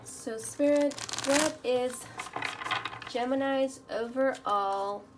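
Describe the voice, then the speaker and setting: A woman whose first language is Spanish, doing a tarot reading at a table and murmuring softly as she shuffles and reads the cards aloud. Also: high-pitched, takes it slowly, very noisy. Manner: soft-spoken, murmuring while shuffling, reading card text aloud. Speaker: tarot reader. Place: indoors at a table.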